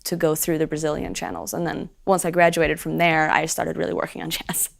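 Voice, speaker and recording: Young woman, american accent, low-pitched, gravelly, chatty interview guest, lots of fillers. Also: brisk. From Sweden. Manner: animated, chatty, many fillers and restarts. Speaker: guest musician. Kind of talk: casual interview chat.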